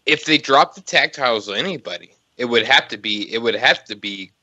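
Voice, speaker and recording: Man, podcast co-host, bright forceful voice, dry close sound, opinionated, emphatic, casual. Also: mid-range voice, animated, brisk, no echo, muffled recording. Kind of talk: casual wrestling discussion.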